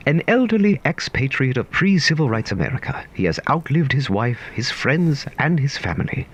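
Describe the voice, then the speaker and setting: A man narrating a scripted story in a theatrical, wry, literary manner with deliberate phrasing. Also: mid-range voice, big pitch swings, fairly bright tone, slightly rough, dull audio.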